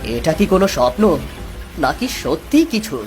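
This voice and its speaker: Woman doing a worried village dad in a cartoon, lower voice, very expressive, music behind. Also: a little slow. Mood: wondering. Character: humble village fisherman father, cautious and caring. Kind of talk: cartoon voice acting.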